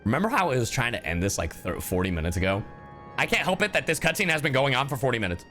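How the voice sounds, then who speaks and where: A man's voice: mid-range voice, very animated, fast talker, bright, forceful tone. A man doing casual live reaction commentary on a game, slangy and prone to swearing. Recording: game music faintly behind.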